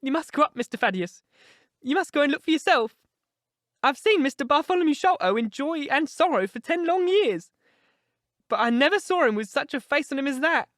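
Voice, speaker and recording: A man's voice: very high voice, animated, a little fast, fairly bright tone, dry recording, studio quality, voice pushed up. A man voicing an anxious, devoted old housekeeper woman in an audiobook story, fretful and effusive. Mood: frightened. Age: older.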